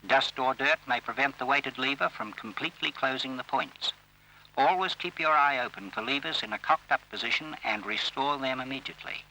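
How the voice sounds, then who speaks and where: Man, very bright sharp voice, formal instructional narrator, measured delivery, old film soundtrack. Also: mid-range voice. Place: voice-over recorded for film. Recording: old scratchy railway training film soundtrack.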